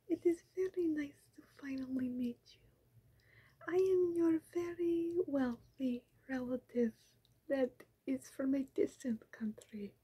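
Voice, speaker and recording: A woman's voice: high-pitched, poor audio. A young woman in an ASMR roleplay, whispering as a scheming female vampire in silly disguises who pleads in broken, formal English. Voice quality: soft whisper. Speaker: ASMR creator. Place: small bedroom with pink backdrop. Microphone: close webcam framing.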